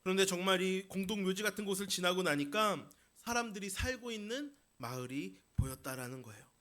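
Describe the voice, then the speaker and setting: A male pastor delivers a sermon in a notably high, bright voice. His tone is forceful and emphatic. He repeats key phrases and puts rhetorical questions to his listeners. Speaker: pastor preaching. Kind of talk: Sunday sermon to a congregation.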